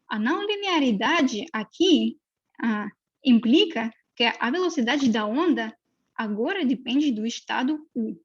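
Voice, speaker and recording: A woman's voice: a little high, animated, unhurried, husky, dull audio. A young woman from Russia, speaking with a Russian accent, gives a hesitant seminar lecture full of fillers. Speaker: postdoctoral researcher.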